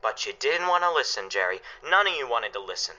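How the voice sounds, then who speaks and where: A man acting a stern father, sharp and forceful. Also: mid-range voice, sing-song, brisk, highs cut off. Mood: bitter, reproachful. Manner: emphatic, grave speechmaking. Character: stern, anguished father guarding his family's shelter. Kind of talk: dramatic radio play acting.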